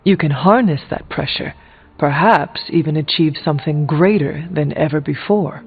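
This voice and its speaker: Male earnest game warrior, fairly high voice, formal and blunt, on thin phone-like audio. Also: husky. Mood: encouraging. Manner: measured, formal, direct. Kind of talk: scripted video game dialogue. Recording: phone-like narrow band, music behind.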